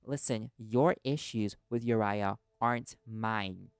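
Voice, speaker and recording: A man's voice: lowish voice, takes it slowly, smooth, no echo, studio quality. A man playing a stubborn, guarded reporter held captive in an audio roleplay, arguing back in loyal defiance. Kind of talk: dramatic audio roleplay acting.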